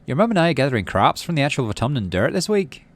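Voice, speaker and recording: A man's voice: mid-range voice, sing-song, fast, pitched above the performer's own voice. A man voicing a cheery, enthusiastic farmer father from a story game, hearty and encouraging.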